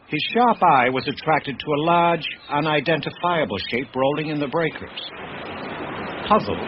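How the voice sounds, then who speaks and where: An actor playing a grave heir recounting his family's cursed heirloom tale in measured, literary radio-drama storytelling. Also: mid-range voice, noisy background, lightly acted. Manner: measured, literary, deliberate delivery. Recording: muffled radio drama with music underneath.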